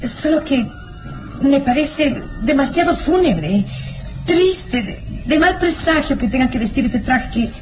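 A woman's voice: high voice, expressive, takes it slowly, background noise. An actress playing a frightened young woman who speaks nervously and hesitantly in a radio drama with music behind. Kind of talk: 1960s radio drama acting.

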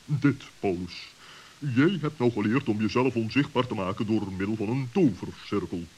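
A man playing a cautious, wise owl with a deliberate, pompous way of speaking in a children's radio play. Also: on the low side, darkish tone, lightly acted. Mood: advising.

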